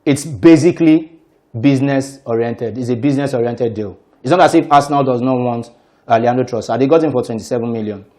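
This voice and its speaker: A man's voice: medium pitch, a little fast, mellow. A man with a mid-range voice gives football analysis on a sports talk show. He explains his points firmly and assertively, walking through his reasoning step by step.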